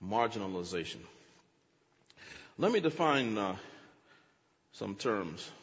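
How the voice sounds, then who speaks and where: A man's voice: fairly low pitch, sing-song, takes it slowly, a bit bright, in a large hall, highs cut off. A man preaching a teaching sermon, dramatic, acting out quotes with big swings in his voice.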